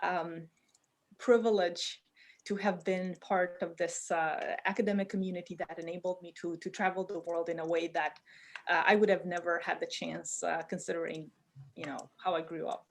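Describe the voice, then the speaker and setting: A woman's voice: on the low side, little pitch movement, moderate pace, creaky. A woman in her thirties from Romania gives a chemistry webinar lecture from her home basement over a video call, speaking informally with many fillers and restarts. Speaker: chemistry professor giving a webinar talk.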